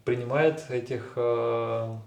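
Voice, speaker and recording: A man's voice: mid-range voice, steady tone, slow. Male co-host, hesitant, full of fillers, echoey room. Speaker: co-host discussing a fairy tale. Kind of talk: casual analytical discussion.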